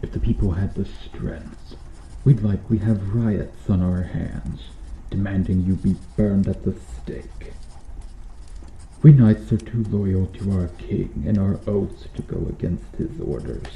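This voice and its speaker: A man playing a bitter, sardonic medieval knight in a slow, intimate ASMR roleplay, speaking deliberately with quiet menace. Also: low-pitched, steady tone, gravelly. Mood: bitter resentment. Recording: roomy echo with faint noise.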